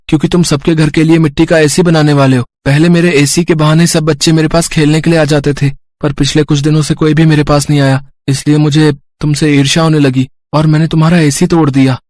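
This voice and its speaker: A man voicing a spoiled, jealous village boy who is contrite and pleading, in an animated moral story. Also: on the high side, no room sound, studio quality, close to an everyday voice.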